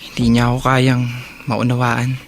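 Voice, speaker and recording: An actor in a Tagalog radio drama playing an earnest, remorseful older brother who seeks understanding. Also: male voice, mid-range voice, monotone, normal speed, a little hiss or hum, close to an everyday voice. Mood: troubled, regretful.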